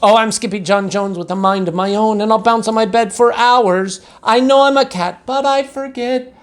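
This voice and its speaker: A little storybook kitten with big bravado, voiced by a man. The voice is pitched high and squeaky, and it draws words out grandly like a swashbuckler proclaiming his own fame. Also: moderate pace, lightly acted. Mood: cheeky. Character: small brave boastful kitten posing as Chihuahua swordfighter.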